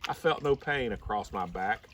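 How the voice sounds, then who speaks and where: A man reading a rhyming children's story aloud in an expressive storybook style. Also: on the low side, moderate pace. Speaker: storybook reader. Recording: slightly distant mic, faint background noise.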